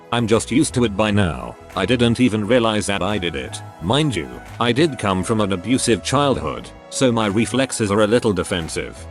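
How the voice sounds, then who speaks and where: A male narrator with a fairly low voice works through a list of online posts, reading them out one after another. He spells out abbreviations and even punctuation marks literally, in an even reading manner. Light background music runs quietly beneath his voice. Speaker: narrator of a Reddit reading channel.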